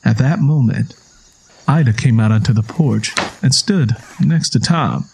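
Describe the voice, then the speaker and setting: A man's voice: medium pitch, a little slow, gravelly, darkish tone, a little hiss or hum. Man narrating an audio drama.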